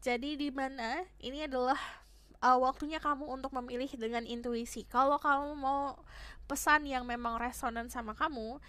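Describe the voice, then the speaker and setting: Young woman tarot reader, kinda hoarse, chatty, flips into english bits now and then. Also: high voice, fairly even intonation, moderate pace, a bit bright, no echo. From Indonesia. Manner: chatty, switches between Indonesian and English phrases. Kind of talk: casual tarot reading to viewers.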